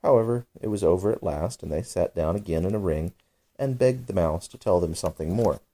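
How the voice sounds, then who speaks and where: A man reads a bedtime story as its narrator. His voice is low and mellow, with lively, expressive movement through the sentences. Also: a little fast.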